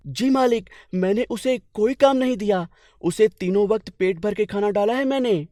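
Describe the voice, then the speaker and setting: A man's voice: high voice, normal speed, warm tone, no room sound, studio quality, voice pushed up, natural voice. A man voicing a naive, lazy, whining male servant with a sly streak in a comic cartoon folk tale. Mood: servile, eager.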